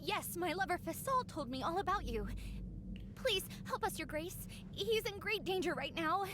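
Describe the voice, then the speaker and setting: A woman's voice: very high-pitched, expressive, normal speed, noisy background. An actress playing a distressed young woman in a video game, earnest and formal as she seeks help. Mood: anxious pleading. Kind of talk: dramatic video game voice acting.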